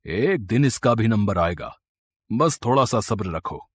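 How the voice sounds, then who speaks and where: A man acts a scheming uncle in a storybook tale. His voice is husky and lower than the women around him, coaxing and conspiratorial with greedy intent. The recording is dry. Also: expressive, unhurried, dry recording. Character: scheming murderous uncle, falsely kind, greedy. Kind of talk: moral-story acting.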